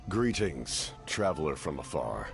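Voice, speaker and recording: An actor playing a commanding, calculating mastermind in a video game, formal and deliberate, with a deep gravelly voice. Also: male voice, big pitch swings, full character voice. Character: commanding, calculating male mastermind with courteous menace. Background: game music.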